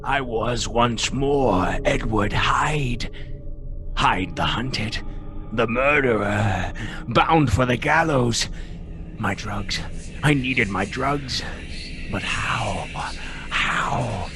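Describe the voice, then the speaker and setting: Slow, desperate hunted murderer. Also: male voice, mid-range voice, lively intonation, music in the background. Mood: bold, then desperate. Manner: dramatic, deliberate, desperate. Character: hunted murderer Hyde, bold, desperate, hairy-handed fugitive. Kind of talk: gothic radio drama monologue.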